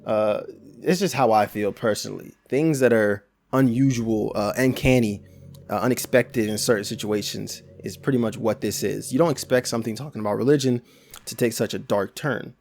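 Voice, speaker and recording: Gravelly young man with casual commentary. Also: on the low side, moderate pace, darkish tone. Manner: casual, rambling, frequent restarts and slang. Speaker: YouTube commentator.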